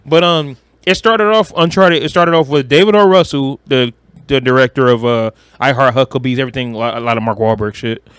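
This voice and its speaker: A man's voice: medium pitch, fairly quick, fairly bright tone, smooth, dry recording. A man co-hosting a podcast, chatting casually about pop culture in a slangy, opinionated way. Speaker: podcast co-host.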